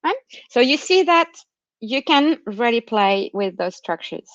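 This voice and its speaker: A woman with a fairly high voice and a non-Australian accent presents research in an explanatory, academic way. She often checks that listeners follow with short tags. The sound is dry and somewhat dull, like an online call. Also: big pitch swings, measured pace, fairly bright tone, no echo. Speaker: university researcher giving a talk. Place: remote online talk during lockdown. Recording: muffled lo-fi online call audio.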